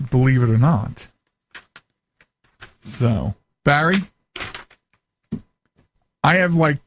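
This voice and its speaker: A man's voice: medium pitch, no room sound. An American man hosting a podcast in casual, unscripted talk, earnest and emphatic, often repeating himself as he goes. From United States. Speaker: talk show host.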